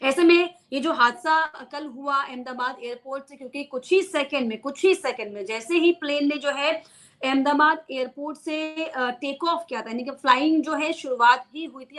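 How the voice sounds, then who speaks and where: A woman's voice: high-pitched, a bit bright. A woman news presenter giving an unscripted explainer to viewers, repeating key phrases for emphasis.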